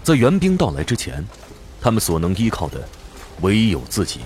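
A man's voice: fairly low pitch, wildly swooping pitch, normal speed, a little mellow, slight background noise. A man announcing and narrating a radio drama in a scripted, dramatic trailer style. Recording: music behind the voice.

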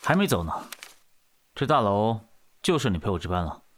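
A man's voice: fairly low pitch, big pitch swings, normal speed, slight background noise. A man playing a solicitous night security guard, speaking casually in an amateur suspense audio drama.

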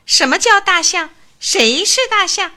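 A woman voicing an arrogant, hot-tempered little male mouse who squeaks and shouts in a storybook. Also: very animated, a little slow, heavily acted. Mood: blustering.

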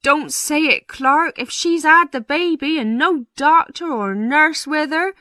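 A woman's voice: high-pitched, unhurried, sharp tone, dry recording, clean studio sound, voice pushed up. A woman voicing a deferential, worried male parish clerk in a storybook, respectful and plain-spoken. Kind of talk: storybook character voice.

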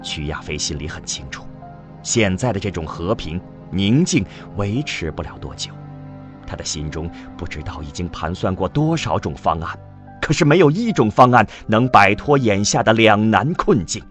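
Male audio-drama narrator, low register, highly animated phrasing, light music bed. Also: normal speed. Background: background music.

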